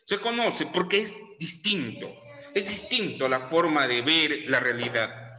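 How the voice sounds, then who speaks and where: A man's voice: a little high, expressive, unhurried, sharp tone, faint music behind. A middle-aged Peruvian man lecturing a class in a chatty way, dropping lots of tag questions and fillers. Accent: Peruvian Spanish. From Peru. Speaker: teacher. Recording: echoey room with long reverb.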